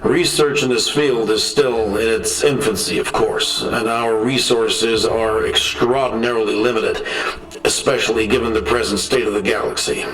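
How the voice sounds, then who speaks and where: An actor playing a measured male research lead, giving a formal, deliberate and articulate report in a science fiction audio drama. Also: lowish voice, a bit bright. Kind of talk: dramatic scripted report narration. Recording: roomy echo, distant, music underneath.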